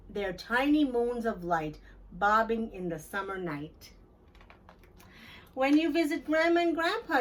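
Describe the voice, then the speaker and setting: A woman reading a children's storybook aloud in an expressive, deliberate storytelling manner for young listeners. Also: on the high side, far from the mic, poor audio. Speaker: children's book read-aloud host. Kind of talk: scripted storybook narration for children.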